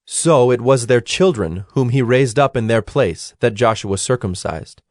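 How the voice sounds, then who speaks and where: A man narrating scripture from a script in a formal, measured storytelling manner. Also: medium pitch, dry recording, clean studio sound.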